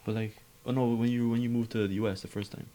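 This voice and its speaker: A man hosting an interview podcast, asking halting questions and answering with frequent short affirmations. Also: medium pitch, little pitch movement, mellow. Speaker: podcast host. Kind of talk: casual interview chat.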